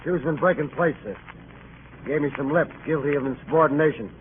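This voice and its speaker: High-pitched, hot-tempered ship's mate played by a man. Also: fairly quick, a little hiss or hum, lightly acted. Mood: resentful. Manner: blunt, aggressive. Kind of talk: old-time radio drama acting. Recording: tinny, band-limited old radio recording.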